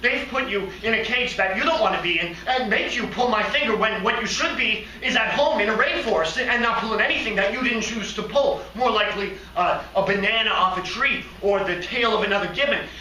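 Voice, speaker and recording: Naive daydreaming hotel receptionist for a comic stage monologue, male performer: high-pitched, very sharp voice, halting and rambling. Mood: hushed, pitying. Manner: halting, rambling, hesitant then excitable. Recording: roomy, distant mic, faint noise.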